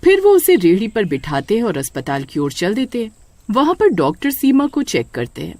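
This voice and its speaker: Lively dramatic storyteller. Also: mid-range voice, normal speed, no room sound. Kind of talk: scripted dramatic story narration.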